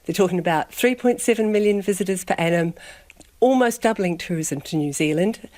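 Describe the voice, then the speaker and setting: A woman's voice: on the low side, a little fast, darkish tone, dry recording. A local woman speaking firmly and emphatically in an interview clip. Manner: firm, emphatic objection. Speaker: local resident.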